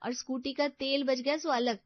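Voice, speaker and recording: Young daughter-in-law, breezy woman actor, high voice, family cartoon, slightly lo-fi. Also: steady tone, normal speed, dry recording, lightly acted. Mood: breezy, defensive. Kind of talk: Hindi moral-story cartoon dialogue.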